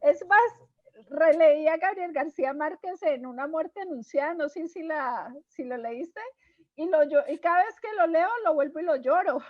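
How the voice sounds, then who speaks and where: A woman hosting a casual conversational interview, speaking in a sentimental, expressive way. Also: sits high, moderate pace, dry recording. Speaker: host, interviewer. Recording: dull, dry, lo-fi audio.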